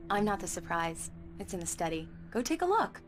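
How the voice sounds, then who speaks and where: A woman's voice: mid-range voice, big pitch swings, brisk, over music, close to an everyday voice. A woman playing a writer's caring wife in a video game, speaking in an affectionate, coaxing, natural way.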